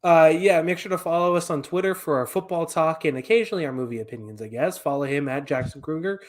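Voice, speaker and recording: Relaxed young man, slightly high voice. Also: fast, a little hiss or hum. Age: 20s. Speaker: podcast co-host. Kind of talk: casual podcast chat.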